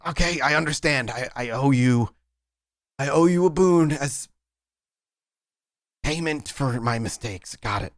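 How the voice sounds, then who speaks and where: A man's voice: mid-range voice, slow pace, long pauses, fairly bright tone, no room sound, studio quality, voice pushed up. A man voicing a pained, sullen young vampire. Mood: pained, resigned. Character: cowed, wounded young male vampire, bitter but submissive.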